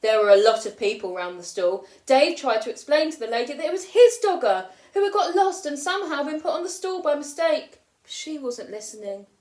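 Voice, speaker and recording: Woman, schoolteacher, picture-book reading, expressive, bright higher voice, roomy echo. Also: fairly quick, hardly any pauses. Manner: expressive storytelling, lifting her voice for children's lines. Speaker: primary school teacher.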